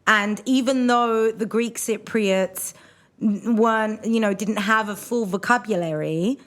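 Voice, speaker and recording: A woman from Cyprus hosting a podcast, asking questions in a casual interview and encouraging her guest with soft backchannels. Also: medium pitch, unhurried. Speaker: podcast host.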